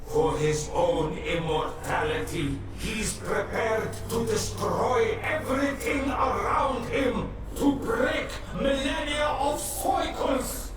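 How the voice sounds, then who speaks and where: An actor playing an ancient, solemn god of the land in a video game, declaiming through heavy echo. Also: male voice, very high voice, slow pace, hardly any pauses. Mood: urgent pleading. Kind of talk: dramatic video game cutscene acting. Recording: distant, heavily echoing, music behind.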